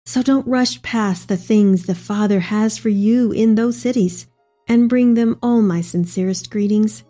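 A woman's voice: mid-range voice, a little slow, dark tone, soft background music, close to an everyday voice. A woman playing an elderly, devout Mary in a dramatic reading of tender, earnest letters to her son. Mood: gentle farewell.

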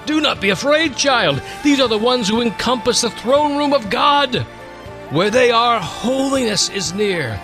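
Man acting an awestruck elder astrologer, wide-swinging pitch. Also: animated, a little slow, over music. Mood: awestruck, fervent. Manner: exclaiming, dramatic, reverent. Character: awestruck elder astrologer, a fervent male teacher and prophet. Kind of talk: dramatic storytelling reading.

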